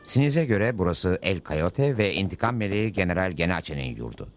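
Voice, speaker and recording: A good-natured lone cowboy from a dubbed cartoon. His voice is on the low side with lively movement, easygoing and polite over loud music. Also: a little fast. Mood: calm curiosity.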